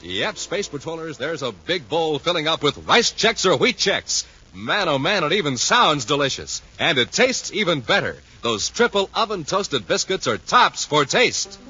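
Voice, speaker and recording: A man announcing and pitching enthusiastically in showy 1950s radio style, on an old recording. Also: big pitch swings, normal speed, hardly any pauses, dull audio, music in the background.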